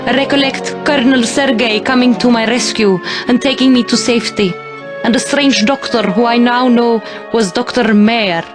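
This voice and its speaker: A woman's voice: a little high, moderate pace, close to an everyday voice. A woman playing a tender, determined long-lost princess sister in a dramatic video game cutscene. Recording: soft music behind the voice.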